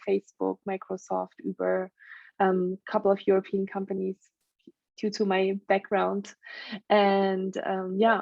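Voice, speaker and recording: A woman's voice: mid-range voice, darkish tone. A woman from Germany in her thirties with a German accent, talking conversationally about herself as a podcast guest. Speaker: podcast guest, burnout coach. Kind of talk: conversational interview answers, telling own story. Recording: roomy, slightly dull lo-fi sound.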